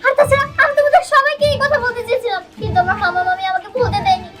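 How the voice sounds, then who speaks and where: A woman's voice: very high-pitched, lively intonation, very fast. A woman playing a rejected, scarred young bride who pleads tearfully in a melodramatic short film. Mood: desperate pleading. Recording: loud music over the dialogue.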